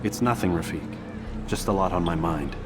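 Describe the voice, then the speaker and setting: A man playing a brooding, determined assassin in a video game cutscene, speaking in grave, formal, measured diction. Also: deep voice, over music, natural voice. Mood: guarded. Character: brooding young male assassin, doubtful and determined.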